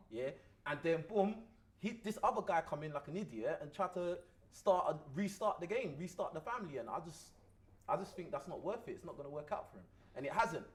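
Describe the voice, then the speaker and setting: London guy arguing his point, blunt and slangy, kinda high voice. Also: lively intonation, fairly quick. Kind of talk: casual debate.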